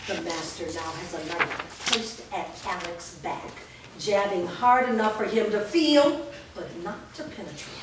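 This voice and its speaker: A woman's voice: lowish voice, measured pace, very noisy. Woman reading stage directions in an echoey hall. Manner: steady, descriptive. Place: large studio room. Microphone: far camera mic. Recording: echoey room from far off.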